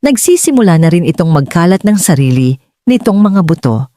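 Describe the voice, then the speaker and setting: A Filipino-accented woman narrating a scripted dramatic story in a measured, earnest way, as for an audiobook. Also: fairly low pitch, sing-song, mellow, dry recording, clean studio sound.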